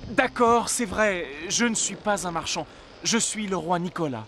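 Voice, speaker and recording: A man's voice: sits high, a little slow, over music. A man playing a lovestruck, gallant young king in a fairy-tale cartoon, with a courtly, earnest and melodramatic delivery. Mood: flustered. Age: young adult. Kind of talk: cartoon voice acting.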